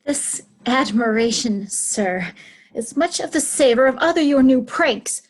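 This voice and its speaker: A woman's voice: sits high, animated, measured pace, rough recording. A woman playing a haughty royal daughter in a Shakespearean reading, formal and reproving. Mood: stern, reproving. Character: haughty royal daughter scolding her aged father.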